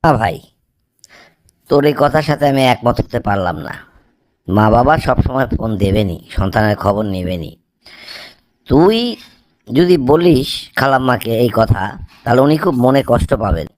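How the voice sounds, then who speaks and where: A man's voice: mid-range voice, animated, moderate pace, long pauses, darkish tone, no echo, natural voice. A man playing a sensible young student friend in an amateur cartoon, earnestly admonishing. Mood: earnest advice.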